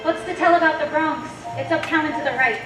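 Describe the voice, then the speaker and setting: A woman acting a brash, quick-talking young dancer on a stage, with sharp sassy retorts. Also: high-pitched, over loud music, natural voice. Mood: sarcastic. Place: theater stage. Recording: echoey stage from far off, noisy.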